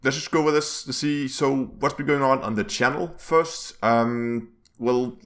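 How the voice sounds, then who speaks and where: A man hosting his gaming channel's weekly news vlog, his voice a little rough, rambling with many fillers and restarts. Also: mid-range voice, steady tone, a little slow, fairly bright tone. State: slightly rough voice.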